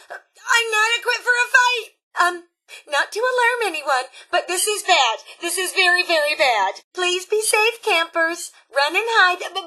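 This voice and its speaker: Elderly robot scout leader, video game, very high bright voice, folksy Upper Midwest accent, motherly, chirpy, sing-song. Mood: anxious. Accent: American Upper Midwest. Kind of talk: video game character acting.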